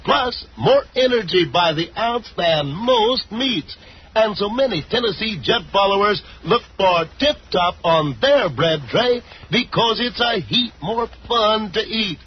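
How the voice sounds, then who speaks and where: A man's voice: high voice, expressive, unhurried. An American man announcing in old-time radio style, narrating a serial and reading advertising copy. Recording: muffled, noisy vintage radio recording.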